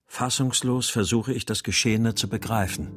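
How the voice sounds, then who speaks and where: An actor playing an exhausted, despairing father, narrating a radio crime drama in a brooding, measured way. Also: medium pitch, steady tone, music in the background, natural voice. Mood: stunned, sober. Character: despairing, exhausted father searching for his abducted daughter.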